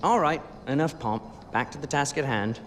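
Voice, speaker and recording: An actor playing a cheery, careless British stoner and amateur chemist in a video game, chirpy and blasé. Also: male voice, medium pitch, lively intonation, normal speed, a little hiss or hum, close to an everyday voice. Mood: cheerful. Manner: chirpy, blasé, mock-formal at times. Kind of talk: video game cutscene acting.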